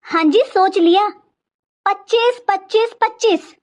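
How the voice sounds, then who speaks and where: A woman's voice: extremely high pitch, measured pace, darkish tone. A woman voicing a naively confident thirty-year-old working wife in a cartoon comedy skit.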